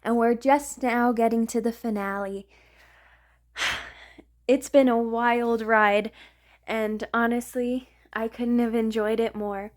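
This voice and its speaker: A woman's voice: on the high side, steady tone, slow pace. A woman hosting an ASMR audio roleplay channel, giving a casual introduction to listeners.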